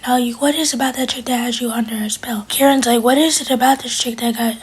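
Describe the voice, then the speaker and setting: A woman's voice: a little high, steady tone, fast talker, breathy edge. A woman whispering her way through a casual recap of a TV episode.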